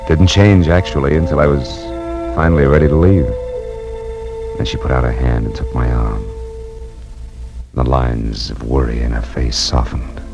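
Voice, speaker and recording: A man's voice: extremely low pitch, fairly even intonation, moderate pace, creaky, pauses often, dull audio. A male actor playing a world-weary private detective with an American accent, narrating tersely in a noir radio drama. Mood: weary, reflective. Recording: old radio broadcast, music behind.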